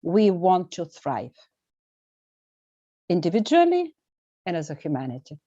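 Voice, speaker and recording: A woman with a Bulgarian accent, a guest coach answering in an interview, speaking earnestly and deliberately with emphatic pauses. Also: fairly low pitch, wildly swooping pitch, warm tone, no echo, lo-fi sound. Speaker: guest, well-being coach. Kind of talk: interview conversation.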